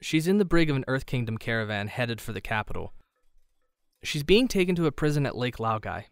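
Guy doing confident teen hero for a cartoon drama, a bit wry, normal pitch, dry mic. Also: sing-song, fairly quick, smooth, dry recording. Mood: assured. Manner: wry, assured. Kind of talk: animated drama dialogue.

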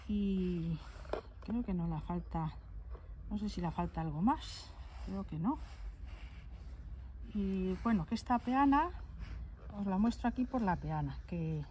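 A woman's voice: medium pitch, warm tone. A woman chats her way through a doll collection, stopping now and then to hunt for the right word. She is recorded outdoors in a garden. A faint hum sits behind her voice. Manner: chatty, affectionate, pauses to search for words. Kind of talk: casual show-and-tell. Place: outdoors on a stone table in a garden.

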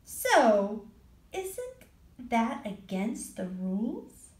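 A woman reading a storybook aloud and voicing an earnest, rule-minded little girl who speaks softly. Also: on the high side, huge pitch swings, very slow, full character voice. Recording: roomy echo.

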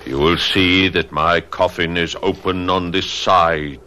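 An actor playing a cruel, vengeful middle-aged master of the house in a radio drama, menacing and commanding. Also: male voice, low voice, steady tone, takes it slowly, pushed and bright, a little hiss or hum, exaggerated voice. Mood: obsessed, grim.

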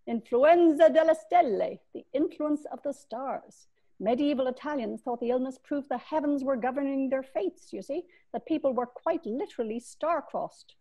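A learned woman doctor from a novel , as a woman reading aloud plays her. Her voice sits fairly high. She is brisk and wry, explaining things with emphasis. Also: mellow, poor audio. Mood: emphatic.

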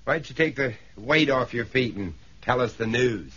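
A man's voice: mid-range voice, lively intonation, moderate pace, a bit bright, breathy edge. A man playing a sardonic, down-to-earth professor in a 1970s radio drama, dry, blunt and informal. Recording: dull 1970s radio recording, faint noise.

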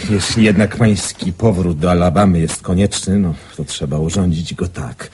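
Very deep, mellow, courteous gentleman played by a man. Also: steady tone, normal speed, a little hiss or hum, close to an everyday voice. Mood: sympathetic, businesslike. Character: courteous, practical male fellow traveller taking charge. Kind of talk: Polish radio drama acting.

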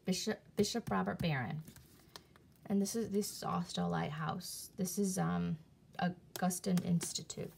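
A woman casually showing her CDs and reading their back covers aloud, halting often with fillers and restarts, recorded on a phone camera above a table indoors. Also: fairly low pitch, vocal fry, dark tone, husky. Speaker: video creator reviewing CDs. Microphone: phone camera filming her hands on a table from above.

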